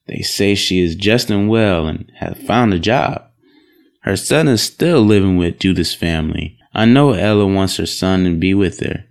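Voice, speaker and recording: A man's voice: deep voice, creaky, heavily acted. A man acting a devoted young farmer husband in an audio drama, speaking in an earnest, folksy, plain-spoken way.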